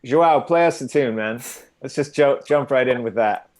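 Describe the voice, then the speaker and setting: A man's voice: mid-range voice, normal speed, a little mellow. A man hosting a casual livestream interview, joking and encouraging his guest. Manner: jokey, encouraging. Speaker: host.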